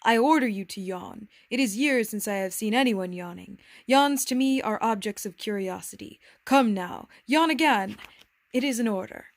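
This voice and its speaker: A woman's voice: mid-range voice, normal speed, gravelly, no room sound, natural voice. A woman voicing a proud, pompous king in a storybook, with a stately, emphatic, declamatory delivery. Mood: imperious. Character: proud, pompous, commanding but reasonable old-fashioned king.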